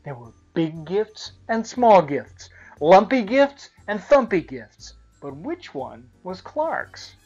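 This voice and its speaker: A woman narrating a children's storybook aloud in an expressive style. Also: lowish voice, takes it slowly, hardly any pauses, breathy edge. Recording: slightly muffled, music behind.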